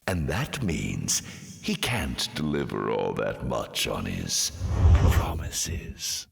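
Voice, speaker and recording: A man voicing a suave, taunting fallen angel demon in a video game, with a deep gravelly voice and a sly, sardonic drawl. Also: heavily acted. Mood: sly, conspiratorial. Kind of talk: video game character voice lines. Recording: long cavernous reverb.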